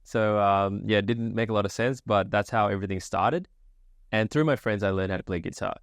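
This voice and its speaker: A young man from Sri Lanka answers interview questions in a relaxed, casual way, often restarting his sentences. His voice sits very low and comes across smooth and resonant. The recording is dry and close, with no room sound. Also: a little fast, no room sound. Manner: easygoing, casual, many fillers and restarts. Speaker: guest, agency founder.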